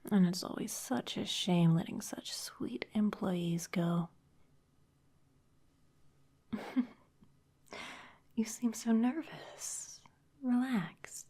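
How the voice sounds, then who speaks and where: A woman's voice: mid-range voice, very slow, long pauses, heavily acted. A woman whispering as a sly vampire boss. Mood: smooth, managerial. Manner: soft breathy whisper, polished and teasing. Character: sly, intimidating female vampire executive. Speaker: ASMR performer. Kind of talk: whispered ASMR roleplay.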